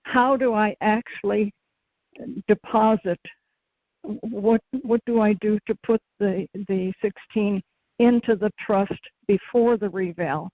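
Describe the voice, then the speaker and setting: A woman's voice: mid-range voice, fairly even intonation, slow, no echo. A woman phoning in with casual questions, speaking in a chatty, somewhat hesitant way. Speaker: caller asking questions. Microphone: phone call. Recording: dull, lo-fi phone call audio.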